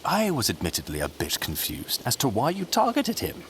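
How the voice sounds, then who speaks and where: An actor playing a scheming, grandiose conspirator in a scripted audio drama, speaking in silky speeches. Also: male voice, medium pitch, huge pitch swings, moderate pace, slight background noise. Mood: wry, calculating. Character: scheming, theatrical male political conspirator relishing intrigue.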